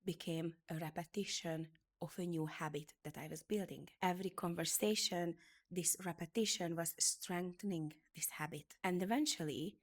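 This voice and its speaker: Hungarian-born woman, native Hungarian speaker, motivational beauty educator, earnest, slightly low voice. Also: steady tone, moderate pace. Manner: earnest, emphatic, repeats phrases for effect. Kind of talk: motivational talk to camera.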